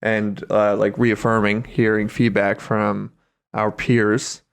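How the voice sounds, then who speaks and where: A man's voice: fairly low pitch, level tone, takes it slowly, vocal fry, smooth. A man co-hosting a podcast, chatting casually with a friend in a wry, easygoing and profane way. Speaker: podcast co-host. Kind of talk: casual comedic chat between friends.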